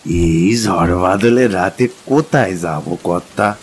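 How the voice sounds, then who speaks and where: A humble rustic porter in an audio drama. His low voice drags along slowly, weary and deferential. Faint rain noise surrounds him. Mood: resigned, humble. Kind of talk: dramatized Bengali audio story. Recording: hissy, rain ambience behind.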